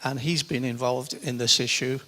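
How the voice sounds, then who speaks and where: A man's voice: mid-range voice, fairly even intonation, moderate pace, bright, forceful tone. A man with a Lancashire accent introducing the next speaker at a rally in plain, brief words. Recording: reverberant hall, off the mic.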